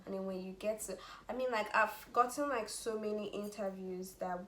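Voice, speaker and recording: Young nigerian woman, casual animated chat, laughs a lot, echoey room. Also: medium pitch, normal speed. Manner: animated, laughing often, drops into Nigerian Pidgin. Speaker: vlogger, international student. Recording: echoey room with faint ambience.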